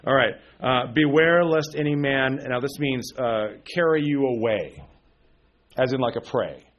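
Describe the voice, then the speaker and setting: A man's voice: medium pitch, lively intonation, unhurried, dull audio. Rambling male preacher, filler-heavy sermon. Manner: rambling, many fillers and restarts, chuckles at himself. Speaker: pastor. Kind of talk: conversational sermon. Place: church pulpit.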